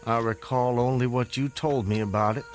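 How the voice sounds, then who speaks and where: An actor in a radio drama playing a dazed fugitive who recounts his escape in a halting, dramatic, old-fashioned storytelling manner. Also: mid-range voice, steady tone, natural voice. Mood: puzzled, uneasy.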